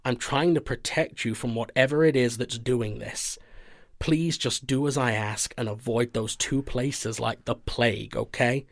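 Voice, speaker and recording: A man's voice: medium pitch, unhurried, creaky, no room sound, studio quality. A man playing a protective, earnest investigations boss in an audio drama, speaking conversationally. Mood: earnest, concerned. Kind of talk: audio fiction acting.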